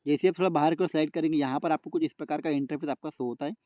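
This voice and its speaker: A man's voice: fairly high pitch, rapid-fire, dark tone, no echo. A man with an Indian accent hosting a casual how-to tutorial, repetitive and often addressing his viewers as guys. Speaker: YouTube tech tutorial host.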